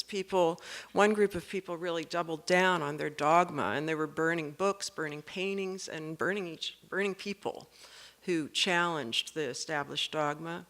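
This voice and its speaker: An artist presenting their own work in a slide talk, speaking with halting, measured phrasing. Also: mid-range voice, a bit bright.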